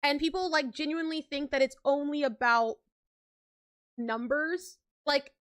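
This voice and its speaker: A woman's voice: sits high, measured pace, fairly bright tone. A young woman streaming live, chatting casually and rambling with lots of filler words and frequent laughs.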